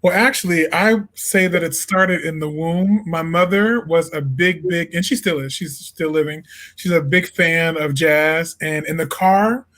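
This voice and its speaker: A young man talking casually in an interview, his voice sitting a little high. He answers loosely, with plenty of fillers and restarts, and laughs easily. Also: measured pace, fairly bright tone. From Peoria, Illinois. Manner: easygoing, laughs often, many fillers and restarts. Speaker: jazz singer and songwriter, guest.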